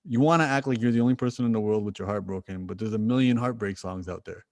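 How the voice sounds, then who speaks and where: A low-pitched man hosting an interview podcast, talking in a loose, reflective way. He thinks out loud and keeps filling his sentences with "you know" and "like". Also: quick pace. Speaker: podcast host. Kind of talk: conversational interview.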